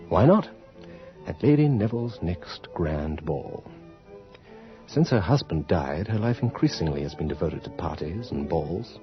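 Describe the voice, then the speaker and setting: Fussy, swooping courtly poet. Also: male voice, sits low. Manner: deferential, theatrical, flustered. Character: fawning, fussy poet secretary to a London lady. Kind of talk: old radio play acting. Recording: muffled 1980 radio drama, faint music.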